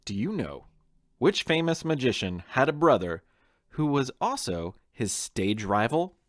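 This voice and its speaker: A man's voice: medium pitch, very animated, measured pace, no echo, studio quality. An American man reading a playful scripted quiz as host, talking straight to the listeners. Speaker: podcast host and quizmaster.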